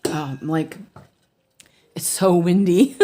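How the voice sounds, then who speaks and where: Slow, low-voiced woman, slightly hoarse, chatty and rambling, casual home stitch-along host. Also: warm tone. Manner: chatty, rambling, many ums and self-corrections. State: hoarse from allergies. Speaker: cross-stitch streamer.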